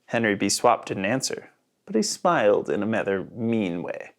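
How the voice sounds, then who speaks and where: A narrator reading a children's storybook aloud with dramatic, expressive emphasis. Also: deep voice. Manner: dramatic, with sound words and slowed emphasis.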